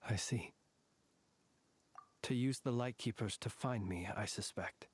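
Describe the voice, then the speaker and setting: An actor voicing a formal, world-weary soldier-scholar who talks in a wordy, dryly wry way. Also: male voice, mid-range voice, unhurried, a little hiss or hum, close to an everyday voice. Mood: thoughtful. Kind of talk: game voice acting.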